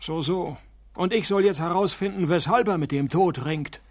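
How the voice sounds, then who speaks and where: An actor playing a cynical, wisecracking male private detective in a radio play, narrating and talking in a dry, laconic deadpan. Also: high-pitched, moderate pace, no echo. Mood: dry, sardonic.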